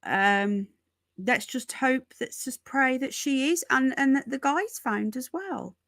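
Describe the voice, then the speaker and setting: A woman's voice: high voice, slow, gravelly, fairly bright tone, no echo, clean studio sound. A woman hosting a casual live news stream from home, chatting to viewers, repeating herself and calling them folks and darling. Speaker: livestream host.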